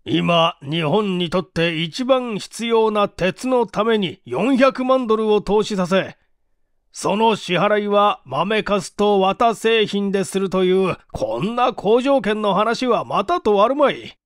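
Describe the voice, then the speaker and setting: A man voicing a weighty, insistent company president in a storybook reading, formal, pressing and deliberate. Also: high voice, lively intonation, no echo, studio quality. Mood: persuasive, urgent.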